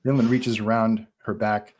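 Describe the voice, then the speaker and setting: Low-voiced man narrating a script. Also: flat delivery, moderate pace, a little mellow, a little hiss or hum. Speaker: narrator reading stage directions. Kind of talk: scripted narration at a live table read.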